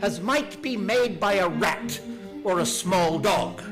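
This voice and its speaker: An actor playing an earnest, old-fashioned newspaper announcer, reading in dramatic radio style. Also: male voice, high voice, animated, slow. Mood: urgent, formal. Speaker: newspaper reader. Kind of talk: dramatic scripted news reading. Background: music.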